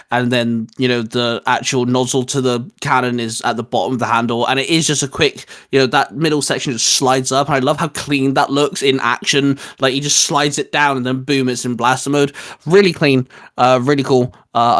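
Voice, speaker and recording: A man's voice: mid-range voice, a bit bright, dry recording, clean studio sound. A man in his twenties chatting casually as a co-host of a geeky review show, rambling enthusiastically. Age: 20s. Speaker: co-host of a fan discussion show.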